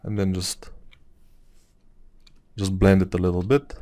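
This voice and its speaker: A man's voice: deep voice, flat delivery, measured pace, dark tone. A man in his thirties from Bulgaria, with a Bulgarian accent, talking through a 3D sculpting tutorial in a rambling, musing way with many fillers. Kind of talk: casual tutorial talk over screen work.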